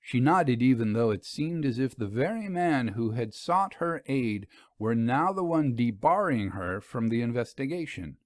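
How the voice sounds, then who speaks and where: A man narrating a scripted first-person story in a measured, literary manner. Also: medium pitch, lively intonation, dry recording, studio quality.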